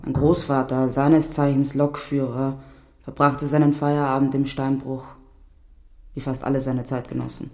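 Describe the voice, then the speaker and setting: A man's voice: medium pitch, flat delivery, normal speed, dark tone. A man reading scripted literary narration as a storyteller.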